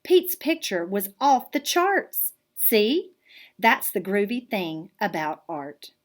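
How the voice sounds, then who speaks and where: A woman reading a children's picture book aloud in an expressive, deliberate storytelling manner. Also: mid-range voice. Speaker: art teacher reading aloud.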